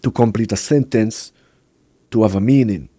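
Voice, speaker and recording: A man from Montreal whose first language is Quebec French, speaking English with a strong Jamaican patois flavor in a relaxed podcast interview. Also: on the low side, normal speed, no room sound. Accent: Jamaican patois over a Quebec French base. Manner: laid-back, slips into patois grammar. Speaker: reggae artist guest.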